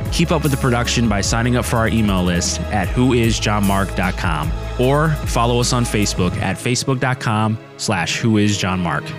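A man working as an announcer, reading scripted end credits. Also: mid-range voice. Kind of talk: scripted narration.

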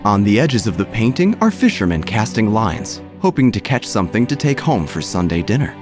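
Wry church gossip narrator played by a man, low voice, lively swings, scripted comic storytelling over clear music. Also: fairly quick. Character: droll, gossipy small-town church narrator. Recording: music under the voice.

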